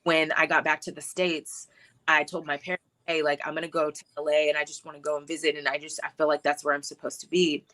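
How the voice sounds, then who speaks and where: A woman's voice: sits low, little pitch movement, fast talker, a bit bright, rough recording. A young woman from Hawaii, a filmmaker and actress, telling her story on a video call in a reflective, casual way, full of "like" and "you know". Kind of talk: casual interview.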